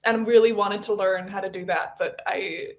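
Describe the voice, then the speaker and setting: A woman from Hong Kong, a podcast guest, chatting casually with many pauses and restarts. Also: mid-range voice, steady tone. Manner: hesitant, many fillers and restarts. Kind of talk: casual chat.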